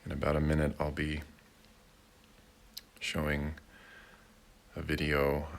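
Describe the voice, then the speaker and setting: A man leading a guided meditation in a gentle, deliberate way, with long pauses between short phrases. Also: a bass voice, creaky. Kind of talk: guided meditation, slow and unhurried.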